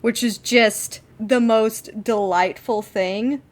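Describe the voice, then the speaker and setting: A woman's voice: fairly high pitch, fairly even intonation, slow. A woman co-hosting a podcast, chatting casually and enthusiastically as a fan. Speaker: podcast co-host.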